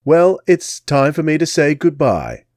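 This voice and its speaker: A man voicing a tall, well-dressed Englishwoman with an English accent, a soft-hearted accomplice. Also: a little high, sing-song, measured pace, no echo, studio quality, pitched above the performer's own voice. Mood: regretful.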